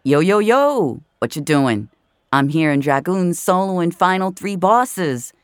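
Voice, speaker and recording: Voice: medium pitch, expressive, slow pace, clear, resonant tone, no echo, clean studio sound, pitched above the performer's own voice. An actor voicing a brash, slangy gamer friend chatting online in an audio drama. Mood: cocky, hyped. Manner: slangy, cocky, playful.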